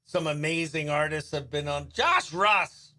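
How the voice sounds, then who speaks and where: A man hosting a radio show in a breezy, excitable way, chatting and calling out greetings to viewers. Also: a little high, measured pace. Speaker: radio host.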